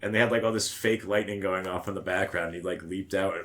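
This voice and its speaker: Man, deep voice, casual podcast chat, joking, blunt, foul-mouthed. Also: fairly even intonation, brisk, fairly bright tone. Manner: sweary, blunt. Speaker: podcast co-host.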